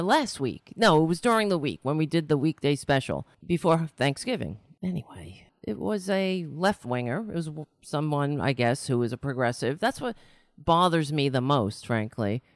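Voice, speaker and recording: A woman's voice: low-pitched, expressive, moderate pace, no room sound, clean studio sound. A woman from New York City hosting a political livestream show, talking casually and launching into an exasperated, sarcastic rant. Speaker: host of a political talk show.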